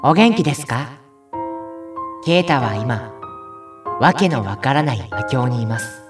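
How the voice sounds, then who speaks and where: A man's voice: medium pitch, animated, measured pace, distant mic, over music, natural voice. A man playing a timid, self-pitying young man, whiny and rambling, in an audio drama. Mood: despairing. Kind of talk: audio drama acting with narration.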